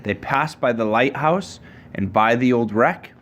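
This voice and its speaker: Male reader, dad at storytime. Measured, rhyming picture-book delivery, medium pitch, slightly noisy home recording. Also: fairly bright tone. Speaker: father reading to his child. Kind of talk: reading a children's picture book aloud.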